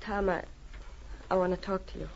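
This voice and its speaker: A woman's voice: fairly low pitch, fairly even intonation, normal speed, gravelly, a little mellow. Earnest radio-drama young woman. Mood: pleading, firm. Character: earnest, pleading young frontier woman, loyal sister. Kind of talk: 1940s radio drama acting. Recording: muffled, hissy old radio recording.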